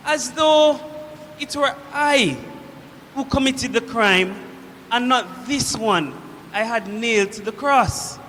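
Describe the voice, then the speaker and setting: A very high, bright voice rings through a long hall reverb. It is a proud Roman centurion, played by a man on stage, commanding and declamatory, yet shaken by guilt and dread. He speaks in slow, weighted phrases with dramatic pauses. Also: slight background noise, exaggerated voice. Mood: troubled. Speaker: actor performing a dramatic monologue. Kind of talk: theatrical church monologue.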